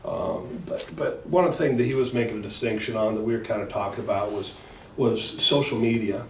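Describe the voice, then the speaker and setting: Brief: low-pitched male sales trainer, room-miked from a distance, noisy echoey space. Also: a little fast. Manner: energetic, quizzes the room. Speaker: sales trainer leading a workshop. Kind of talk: live seminar talk with the audience. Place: training room at a live event. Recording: roomy, distant mic, audible background noise.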